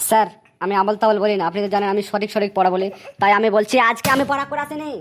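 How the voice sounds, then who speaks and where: A woman's voice: mid-range voice, racing, slight background noise, natural voice. A woman voicing a cheeky cartoon schoolboy who makes rambling, pleading excuses in colloquial speech. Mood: earnest. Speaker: voice actor in a comedy cartoon. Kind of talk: comedy cartoon dialogue.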